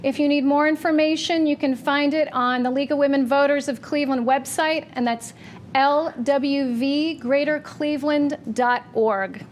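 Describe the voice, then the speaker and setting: A woman welcoming the audience and reading announcements at a public library forum, with a loud voice and careful, deliberate delivery of dates and times. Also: high voice, steady tone, slight background noise. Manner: says she has a loud voice. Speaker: library communications manager introducing a forum. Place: public library meeting room.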